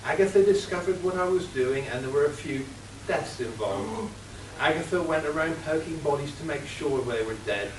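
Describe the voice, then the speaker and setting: A man playing a scheming female criminal mastermind in an amateur radio play, cold and deliberate. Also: fairly high pitch, lively intonation, lightly acted. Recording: roomy, distant, faint hiss.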